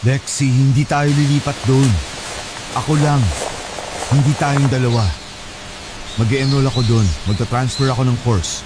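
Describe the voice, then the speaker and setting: A man's voice: medium pitch, little pitch movement, normal speed, pauses often, natural voice. A man playing a cold, blunt young student. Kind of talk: Tagalog radio drama acting. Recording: music under the voice.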